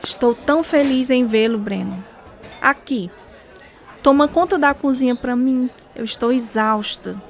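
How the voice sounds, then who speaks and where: A woman's voice: high-pitched, a little slow, faint music behind, some chatter behind. An actress playing a devout, weary, caring mother in a radio drama.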